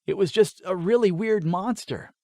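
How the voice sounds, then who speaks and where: A man's voice: sits high, moderate pace, mellow, no echo, studio quality, pitched above the performer's own voice. A man voicing a casual, teasing schoolboy who is a young fighter in a fantasy audiobook. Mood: sheepish.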